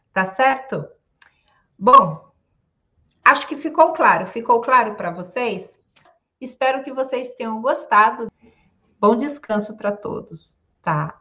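A woman's voice: mid-range voice, fairly bright tone. A woman in her forties with a São Paulo accent gives a pronunciation lesson at a leisurely pace. She repeats each example carefully and checks in with small tag questions. The webcam sound is slightly dull and lo-fi. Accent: São Paulo Brazilian Portuguese. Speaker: Portuguese teacher. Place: home room, indoors.

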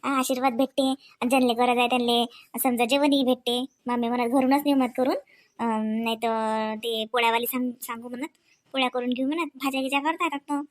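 A woman's voice: on the high side, steady tone, a little fast, lightly acted. A woman voicing a chatty, practical young housewife and mother in a comedy cartoon, speaking homely, coaxing Marathi with a Varhadi accent from Vidarbha. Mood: approving. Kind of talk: colloquial domestic comedy cartoon dialogue.

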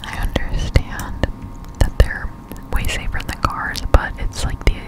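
Young woman, whispered voice, breathy, close-miked, unhurried, chatty gaming ASMR. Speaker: gameplay streamer. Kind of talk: whispered gaming ASMR, casual rambling commentary. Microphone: close-up studio USB microphone.

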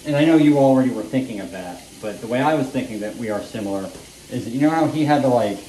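A man's voice: medium pitch, lively intonation, fast talker, warm tone. Rambling man cooking in small kitchen. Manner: rambling, self-deprecating, offhand. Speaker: home cooking vlogger. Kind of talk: casual cooking narration. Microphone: camera mic set up across the kitchen. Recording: echoey kitchen, faint frying noise. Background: frying and sizzling.

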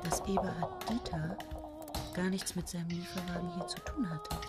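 A woman's voice: medium pitch, very animated, normal speed, loud music behind the voice, natural voice. An actress playing a young female student who narrates a radio play in a wry, brooding way and bitterly hates potatoes. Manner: wry, brooding, clipped short sentences.

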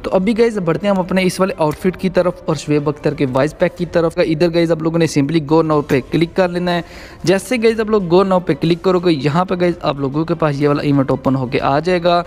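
A man with a slightly high-pitched voice narrates a gaming tutorial as the channel's host. He speaks directly to his viewers, often addressing them as guys, and explains each step as he goes. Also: steady tone, a little fast, darkish tone.

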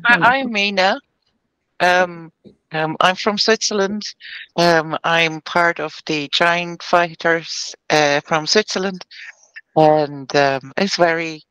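A woman playing a tabletop roleplay game on a video call, speaking casually with halting, hesitant phrasing. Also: fairly low pitch, steady tone, fairly bright tone, clear, resonant tone, no echo, poor audio. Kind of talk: casual in-character introduction.

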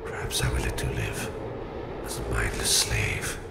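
A man playing a menacing, domineering Soviet psychic supervillain with a Russian accent in a slow, condescending ASMR roleplay, his voice whispery and gravelly. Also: very deep voice, steady tone, echoey room, heavy background noise, full character voice. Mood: cold threat.

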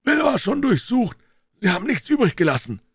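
A man voicing a rough, alarmed pirate henchman in an audiobook, calling out loudly as if across a distance. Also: high voice, sing-song, fairly quick, no room sound, clean studio sound, voice pushed up, exaggerated voice. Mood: reporting. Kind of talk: dramatic audiobook character reading.

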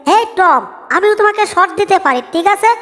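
A woman acts a tiny feisty cartoon mouse, extremely high and sharp. The little fellow is pert, teasing and quick to complain. The voice rings with long reverb over music. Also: expressive. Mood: indignant. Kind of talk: Bengali comic cartoon dub.

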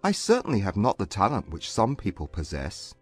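An actor playing a reserved, haughty gentleman with formal, stiff manners in a dramatized audiobook. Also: on the low side, huge pitch swings, brisk, husky, faint music behind, exaggerated voice.

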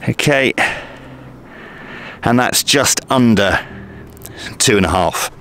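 A man's voice: mid-range voice, animated, takes it slowly, a bit bright. A middle-aged man presenting a casual hobby tutorial, explaining step by step in a hesitant way.

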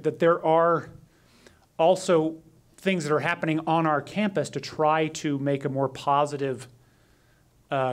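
A middle-aged man moderating a university panel from a podium, with many fillers and a procedural manner. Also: a little high. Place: university lecture room. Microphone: podium mic.

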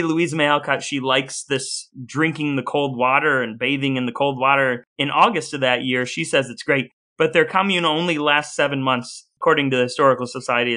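Bright-voiced man chatting on a podcast. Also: mid-range voice. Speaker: podcast co-host. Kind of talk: casual chat with some reading aloud.

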